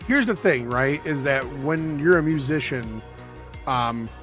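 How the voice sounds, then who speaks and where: An American man in a casual chat, thoughtful, often trailing off softer mid-sentence. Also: medium pitch, expressive, unhurried, faint music behind. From United States.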